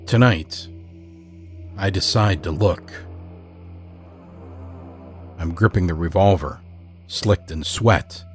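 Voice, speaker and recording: A man's voice: low voice, slow pace, long pauses. A man hosting a horror story podcast, announcing the next tale and starting to read it. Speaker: podcast host and narrator. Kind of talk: podcast introduction and story narration. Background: music.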